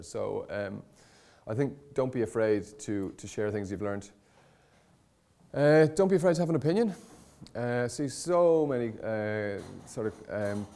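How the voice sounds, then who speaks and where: A man with a fairly low voice presents informally to an audience. He talks conversationally and loosely, doubling back to rephrase himself. His wording is blunt, opinionated and colloquial. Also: creaky, mellow. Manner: conversational, hesitant, rambling with frequent self-corrections. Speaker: conference speaker on startup content marketing.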